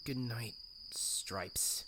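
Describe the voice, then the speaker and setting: A woman's voice: extremely low pitch, extremely slow, pushed and bright, in a whisper, full character voice. A woman voicing an earnest, devoted young male raccoon adventurer in an audio drama. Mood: tender, soft. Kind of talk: audio drama acting and narration.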